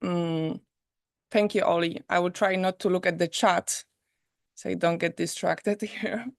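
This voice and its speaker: Slow, soft-spoken woman with a Polish accent. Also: lowish voice, steady tone, no room sound, clean studio sound. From Poland. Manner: soft, unhurried, gently inviting. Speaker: host. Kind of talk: live online premiere introduction and guided reflection. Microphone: video call.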